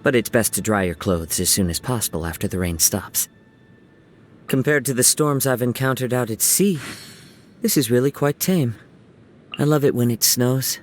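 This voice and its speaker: A man voicing a gentle young wandering samurai in a video game, soft-spoken and reflective, with lyrical, poetic phrasing. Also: medium pitch, a little hiss or hum, close to an everyday voice. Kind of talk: video game character voice lines. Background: music.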